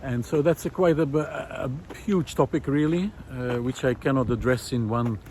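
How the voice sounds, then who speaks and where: A middle-aged man musing aloud in a reflective, hesitant monologue with frequent pauses, recorded while walking outdoors. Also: medium pitch, fairly even intonation, darkish tone. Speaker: visual artist and vlog host. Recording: outdoor ambient noise.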